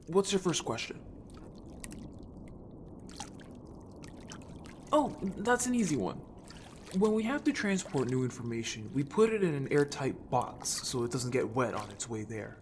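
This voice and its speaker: A man's voice: medium pitch, big pitch swings, moderate pace, a little mellow, slight background noise. A man playing a youthful, eager but evasive merman in an audio story, talking in a casual, informal way. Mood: cheerful, playful. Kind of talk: audio drama roleplay.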